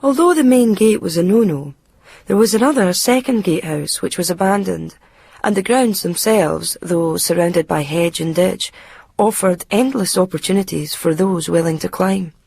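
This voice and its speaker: A woman's voice: fairly low pitch, moderate pace, gravelly, warm tone, husky, no room sound. A woman playing a hesitant, earnest young woman with a Scottish accent in an intimate radio drama. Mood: reflective. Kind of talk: radio drama dialogue and first-person narration.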